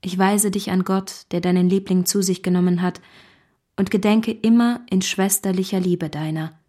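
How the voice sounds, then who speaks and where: An actress playing a gentle, devoted young Victorian woman in a radio drama, speaking softly and earnestly with a husky voice. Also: medium pitch, fairly even intonation, moderate pace, lightly acted. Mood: solemn, loving. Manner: earnest, solemn. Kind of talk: literary radio drama acting.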